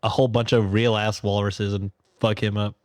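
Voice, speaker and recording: A man's voice: on the low side, level tone, brisk, dry recording, studio quality. A man co-hosting a podcast in casual banter, riffing in slang and repeating phrases for comic effect. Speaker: podcast co-host.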